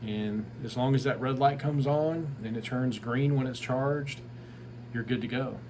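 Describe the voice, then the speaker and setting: A man's voice: mid-range voice, unhurried. A man giving a casual, unscripted product review in a plain-spoken, informal way, like a small business owner. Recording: clear background ambient noise.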